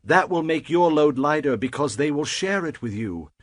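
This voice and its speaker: A man's voice: a little high, expressive, normal speed, dry recording, clean studio sound. An actor playing an earnest, wise older father-in-law in a dramatized scripture production, speaking in an emphatic, declamatory way. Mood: firm advice.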